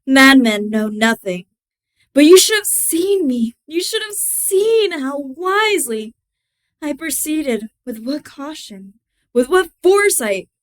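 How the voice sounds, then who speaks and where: A woman reading a gothic horror tale as its mad, cunning, obsessive murderer, deliberate and theatrical, moving between hushed and emphatic delivery with a smooth voice. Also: on the high side. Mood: proud, insistent. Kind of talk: dramatic horror story reading.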